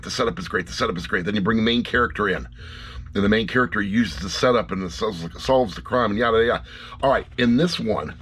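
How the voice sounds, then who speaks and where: A man's voice: medium pitch, fairly bright tone, slightly rough. A middle-aged man giving a casual, rambling review to camera in a blunt, wry way, often repeating phrases for emphasis. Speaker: audiobook reviewer.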